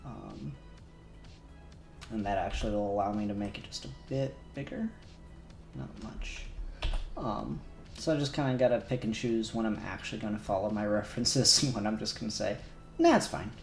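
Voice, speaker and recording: A man's voice: lowish voice, normal speed, gravelly, long pauses, echoey room, faint music behind. A man casually chatting on a livestream, thinking aloud as he works on a 3D model. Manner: relaxed, thinking aloud while working. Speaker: co-host modelling on stream.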